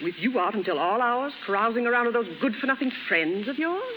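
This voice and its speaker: A woman's voice: a little high, animated, fast, lightly acted. An actress playing a stern, disapproving middle-aged mother with an imperious, scolding manner in a 1930s radio drama. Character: stern, nagging mother of grown sons, disapproving. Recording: faintly noisy, reverberant 1930s radio recording.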